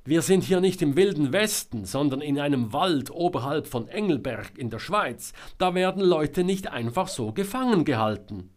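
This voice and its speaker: Storybook woman reporter, read by a man: bold, suspicious, forceful and exasperated, pitched up. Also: animated, slow, few pauses. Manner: forceful, challenging, clipped demands. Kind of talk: dramatic audiobook character voice.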